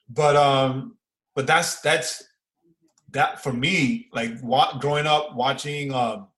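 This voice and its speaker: A man, chatting casually and excitably as a podcast co-host, using slang and repeating phrases for emphasis. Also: mid-range voice, extremely slow, long pauses, rough recording. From Far Rockaway, New York, United States. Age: 40s. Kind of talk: casual fan chat, reviewing and reacting.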